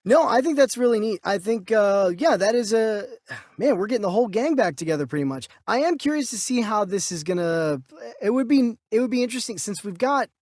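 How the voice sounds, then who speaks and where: Male co-host, notably high voice with lively swings, conversational, thinking out loud, dry recording. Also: brisk, no echo. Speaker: podcast co-host. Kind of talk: casual conversational reactions and commentary.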